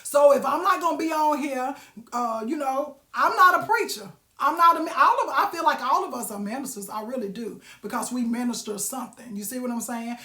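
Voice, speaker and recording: Woman host, raspy, preachy, and folksy. She asks the audience questions. Phone mic, roomy sound. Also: sits high, fairly quick, sharp tone. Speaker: live-stream host. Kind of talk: motivational casual talk. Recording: roomy echo.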